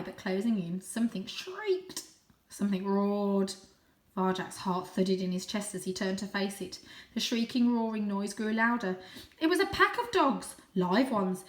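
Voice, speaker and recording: A woman reading a children's story aloud in an expressive, suspenseful, animated way. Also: fairly high pitch, reverberant. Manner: animated, suspenseful, with gasped asides. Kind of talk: dramatic, expressive audiobook-style story narration.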